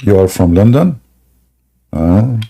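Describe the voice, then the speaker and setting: Husky raspy guy running a debate stream, keeps clearing his sore throat, laughs at stuff. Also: lowish voice, lively intonation, slow, dark tone. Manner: scoffing, laughs often. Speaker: livestream debate host. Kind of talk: mocking live debate chat.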